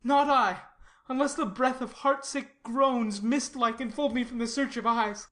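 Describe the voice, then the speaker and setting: Shakespeare audio drama: lovesick male youth voiced by a woman, high-pitched, distraught, theatrically anguished. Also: a little slow, fairly bright tone. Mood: defiant despair. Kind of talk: classical stage tragedy in audio.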